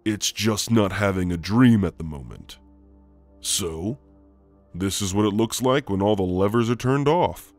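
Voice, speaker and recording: Man playing a slow, low-voiced gentle shadow demon. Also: expressive, no echo, soft background music. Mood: calm, explaining. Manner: soft, halting, soothing. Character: gentle male shadowy sleep demon, a shade. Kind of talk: ASMR roleplay, spoken to the listener.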